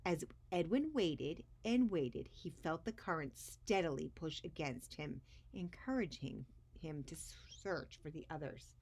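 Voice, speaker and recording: A woman's voice: deep voice, lively intonation, unhurried, darkish tone, a little hiss or hum. A woman hosting a story time for young children, speaking expressively as she shares a picture book.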